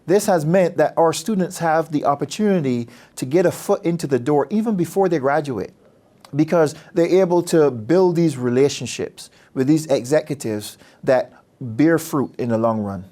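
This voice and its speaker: A man from Barbados, a business school dean speaking about his program in a measured, earnest, persuasive way. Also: on the high side, warm tone. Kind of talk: promotional interview talk.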